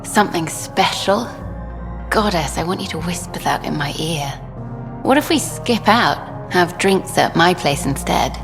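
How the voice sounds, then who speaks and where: Flirty worldly reporter lady in a game, kinda low voice, echoey room, music going. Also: lively intonation, a little slow, vocal fry. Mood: flirtatious. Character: flirtatious, worldly female journalist. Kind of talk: video game dialogue acting. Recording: hall reverb with music behind.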